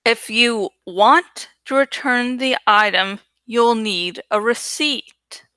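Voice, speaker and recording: A woman's voice: fairly high pitch, slow pace, bright, forceful tone, clear, resonant tone, dry recording. A woman voicing a shabby, crafty madwoman from a storybook, who speaks in precise, eerie repetitions. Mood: flat, challenging. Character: shabby, sly madwoman who repeats herself eerily.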